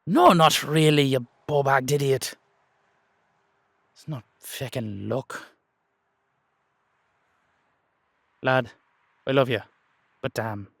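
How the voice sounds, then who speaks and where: A man's voice: mid-range voice, slow pace, creaky, long pauses, no echo. A man voicing a long-lived Irish warrior, a blunt, affectionately scolding mentor, in an amateur audio roleplay. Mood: teasing exasperation.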